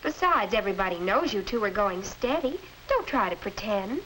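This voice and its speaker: A woman's voice: medium pitch, big pitch swings, normal speed, a bit bright, rough recording. A young woman playing an emphatic, scolding teenage girl in a 1950s instructional film. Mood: reproving. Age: young adult. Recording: hissy 1950s educational film soundtrack.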